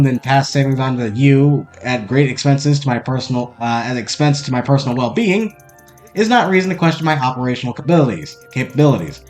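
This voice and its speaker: A man doing a shifty robot shopkeeper. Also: lightly acted. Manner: wheedling sales pitch.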